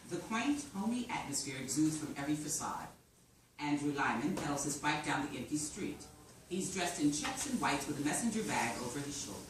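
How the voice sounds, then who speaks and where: Female screenplay narrator, very low register, live reading with strong room echo. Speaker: stage-direction reader at screenplay reading. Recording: echoey room, distant mic, faint noise.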